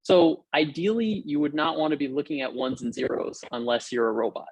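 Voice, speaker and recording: A man's voice: a little high, a little fast, husky, dry recording, poor audio. Young man, upbeat lecturer, informal with fillers, dry sound, video call. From Hawaii. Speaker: guest lecturer. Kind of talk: technical talk over video call. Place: room at home or office.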